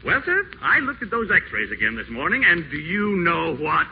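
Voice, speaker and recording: An actor playing an eager, bumbling small-town doctor in a 1940s radio play. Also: male voice, on the high side, big pitch swings, normal speed, creaky. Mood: delighted. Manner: chuckling, fussy, eager. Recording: dull old radio broadcast, faint hiss.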